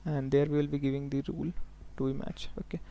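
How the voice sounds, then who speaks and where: A man working as a software trainer, giving a step-by-step live tutorial in an instructional way, often prompting listeners to follow along. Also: mid-range voice, little pitch movement, fairly quick, dull audio. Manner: instructional, often checking in with okay and look here.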